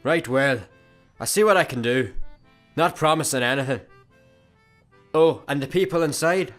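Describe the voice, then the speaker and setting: A man's voice: medium pitch, normal speed, fairly bright tone, soft background music, natural voice. A man playing a menacing yet matter-of-fact space pirate with a grandiose, announcing manner in a comedy radio play.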